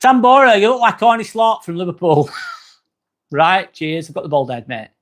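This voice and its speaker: A man with an accent close to Manchester hosts a relaxed livestream, chatting easily with his viewers. His voice sits a touch higher than most men's, and he often fills pauses with a quick "um". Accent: northern English, near Manchester. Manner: chatty, friendly, frequent "um" fillers. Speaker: livestream host. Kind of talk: casual livestream chat reading comments.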